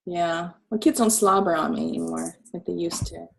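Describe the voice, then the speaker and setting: A woman's voice: lowish voice, fairly even intonation, moderate pace, gravelly. Woman with a cold, snuffly, chatty host, laughs a lot, video call sound. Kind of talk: casual video call chat.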